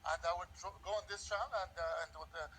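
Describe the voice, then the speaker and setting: A man runs a panel discussion briskly and politely, talking fast and filling his pauses as he goes. His voice is bright and slightly high. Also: steady tone, slight background noise. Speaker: panel moderator.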